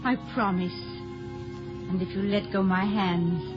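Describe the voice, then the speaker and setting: An actress playing a tender, gently teasing woman in love, refined and composed, in 1950s radio style. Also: mid-range voice, fairly even intonation, slow, lightly acted. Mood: tender, wistful. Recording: muffled old radio broadcast with music.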